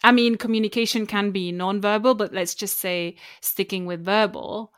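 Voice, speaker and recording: A woman's voice: medium pitch, fairly even intonation, normal speed, smooth, no echo, studio quality. Thoughtful woman with a German accent. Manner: thoughtful, hesitant, with many restarts. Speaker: guest. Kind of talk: reflective interview conversation.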